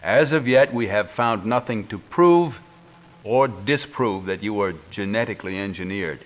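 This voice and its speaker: A man's voice: on the low side, expressive, moderate pace, natural voice. A middle-aged man playing a stern military commander, speaking formally and officially in a video game cutscene briefing. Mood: formal, reserved.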